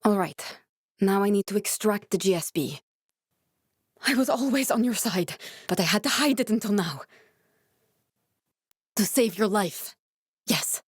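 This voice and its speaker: An actress playing an earnest, guarded woman scientist in a video game, speaking in a measured, serious, explanatory way with naturalistic delivery. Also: mid-range voice, lively intonation, slightly rough, dry recording, studio quality. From born in Norway, raised in Glasgow (character).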